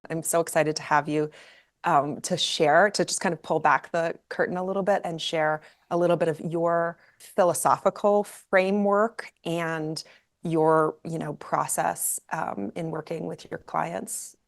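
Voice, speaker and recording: A woman hosting a podcast, introducing her guest in quick, gushing run-on phrasing. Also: low voice, steady tone, gravelly. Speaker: podcast host. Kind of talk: warm interview intro and questions.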